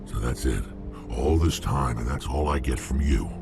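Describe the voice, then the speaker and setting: Gravelly, rock-bottom-deep alien warrior. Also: male voice, normal speed, over music. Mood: angry, defiant. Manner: blunt, defiant. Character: huge angry reptilian Krogan alien warrior.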